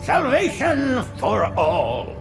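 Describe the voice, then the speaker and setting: An actor playing a zealous alien prophet in a video game, preaching in a florid, reverent manner. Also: male voice, extremely high pitch, wildly swooping pitch, very slow, gravelly, over music, exaggerated voice. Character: zealous, sanctimonious alien prophet, male religious leader. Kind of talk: dramatic video game cutscene acting.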